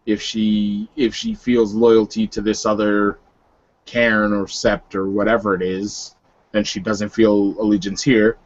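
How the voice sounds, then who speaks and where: A man playing a weary, coolly menacing male werewolf leader who talks in a halting, guarded, dry way. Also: on the low side, level tone, lo-fi sound, natural voice. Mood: grave, resolute.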